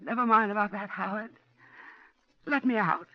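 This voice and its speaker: A woman's voice: mid-range voice, normal speed, natural voice. An actress playing a frightened older widow, pleading and trying to placate her captor in a 1940s radio drama. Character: frightened old widow held captive at home. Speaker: heroine and narrator. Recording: dull 1940s radio broadcast.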